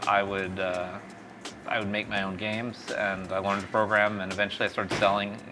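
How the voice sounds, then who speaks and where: A man's voice: lowish voice, little pitch movement, normal speed, over music. A middle-aged man from East Texas telling stories reflectively in a conversational interview. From East Texas, born in Mexico. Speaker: tech company founder, interview guest.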